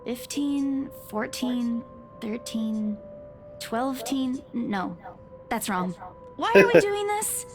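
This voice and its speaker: High-voiced, dazed young woman, slow and halting. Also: expressive, over music. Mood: groggy, confused. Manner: hesitant, halting. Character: dazed, confused young woman trainee with a sore head. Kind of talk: visual novel voice acting.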